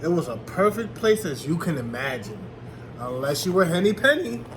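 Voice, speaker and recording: Male storybook reader: high pitch, lively intonation, expressive, explanatory classroom delivery. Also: moderate pace, background noise. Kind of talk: children's read-aloud narration with questions to listeners.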